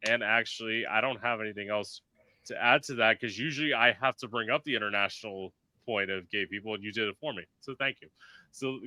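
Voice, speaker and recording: A man's voice: on the low side, brisk, pushed and bright, dry recording. A man hosting a podcast in casual chat, talking emphatically and filling his speech with "like". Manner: chatty, emphatic, full of "like" fillers; performs in drag. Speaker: podcast host.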